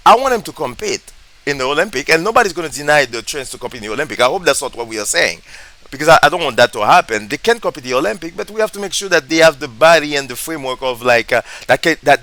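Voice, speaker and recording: A man talks through a political debate in a casual reaction video. His voice sits a little high and rings bright and forceful. He thinks aloud, hesitates and circles back as he hunts for the right words. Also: no room sound, low-quality recording. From Haiti. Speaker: political commentary vlogger.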